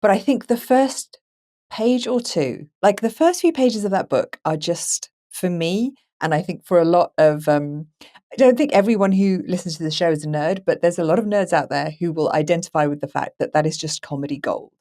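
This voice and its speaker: Female podcast host, lower register, conversational interviewer. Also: lively intonation, moderate pace, mellow.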